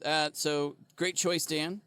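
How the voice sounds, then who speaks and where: Rambling, profane higher-voiced man. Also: steady tone, slow, pushed and bright, clear, resonant tone, no room sound. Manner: rambling, profane, laughs often, many fillers. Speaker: podcast co-host. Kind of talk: casual comedic chat.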